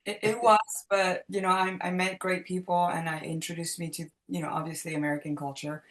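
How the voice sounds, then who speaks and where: A woman's voice: fairly low pitch, flat delivery, brisk, fairly bright tone, low-quality recording. A young woman from France, a singer, talking casually and loosely with many fillers on a video call. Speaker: singer, interview guest. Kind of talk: casual interview talk.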